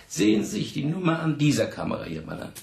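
A condescending, theatrical police investigator of middle age in a radio drama. His highish voice leaps between scorn and mock courtesy, with a gravelly creak. The room around him echoes. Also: big pitch swings, quick pace, a little mellow, a little hiss or hum, exaggerated voice. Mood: scornful. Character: sardonic, bullying middle-aged French police investigator. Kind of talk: German radio drama acting.

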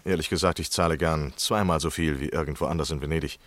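A man playing a courteous, eager but evasive gentleman journalist in a radio play, speaking politely and carefully. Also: very deep voice, fairly even intonation, fast, no room sound. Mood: bargaining. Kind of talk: 1960s German radio play acting.

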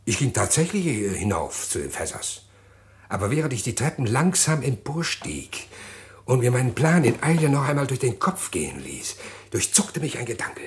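Smooth scheming husband played by a man, lively-pitched. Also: natural voice. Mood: measured, confessing. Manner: measured, formal storytelling with polite hesitations. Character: smooth, scheming husband confessing a murder plot, outwardly courteous. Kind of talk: German radio crime drama acting.

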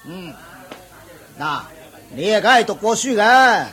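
A man's voice: high voice, animated, slow pace, pushed and bright, faint music behind, exaggerated voice. A man voicing a middle-aged auctioneer who chuckles and lectures in a knowing, chatty way in a radio play. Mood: amused.

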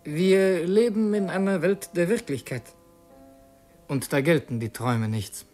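A man's voice: high voice, a little slow, a little mellow, soft background music. An actor playing an earnest revolutionary who narrates his secret mission in a literary radio drama, introspective and brooding. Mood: guarded.

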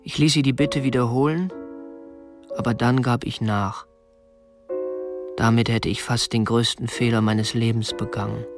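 An actor playing a prim, obsessive young kidnapper who narrates his own story in a measured, precise way for a radio drama. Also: medium pitch, steady tone, music in the background, natural voice. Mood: reflective, controlled. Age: young adult. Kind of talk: scripted first-person narration.

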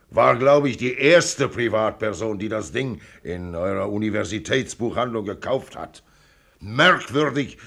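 Radio play: self-assured older millionaire, gravelly and blunt, clipped commands, swinging intonation. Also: male voice, lowish voice, fairly bright tone, exaggerated voice. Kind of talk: radio drama acting.

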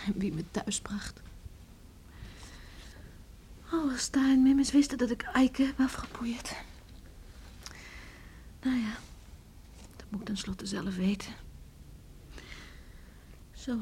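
A spirited young village girl in a radio play, high-voiced and given to breathy exclamations. She is secretive and quick to protest, heard on a recording with faint hiss. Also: mellow. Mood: secretive, musing. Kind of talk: Dutch radio drama acting, murmured monologue and heated dialogue.

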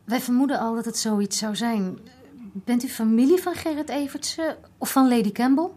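An actress playing a friendly, inquisitive young student in a radio drama, with a polite, conversational manner. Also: female voice, on the high side, moderate pace, mellow, close to an everyday voice. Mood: polite, curious. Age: young adult.

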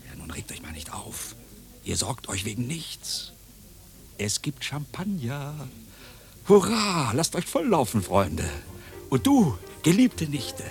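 An actor playing a flamboyant, grandiloquent uncle who performs in a nightclub, in a pompous, theatrical radio play style. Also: male voice, mid-range voice, wildly swooping pitch, slow pace, vocal fry, full character voice. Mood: exuberant. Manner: pompous, theatrical, florid declaiming. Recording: music playing behind.

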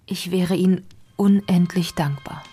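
An actress in a German radio drama playing a distressed, candid wife of a gentleman, with a husky, breathy delivery. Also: fairly low pitch, fairly even intonation, measured pace, soft background music. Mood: confessing, pleading.

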